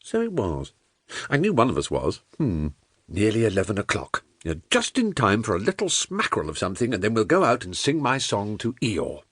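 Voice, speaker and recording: A man's voice: fairly low pitch, huge pitch swings, normal speed, fairly bright tone, husky, no room sound, exaggerated voice. A British man playing a simple, good-natured, musing toy bear in a dramatized children's audiobook, with a bumbling, singsong manner.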